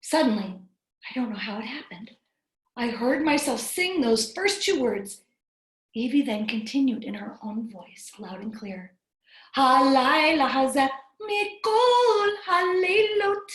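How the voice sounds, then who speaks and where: A woman reading a storybook aloud to young children in an animated, expressive way, breaking off to chat with them. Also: fairly high pitch, a little slow. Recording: roomy, echoing livestream sound.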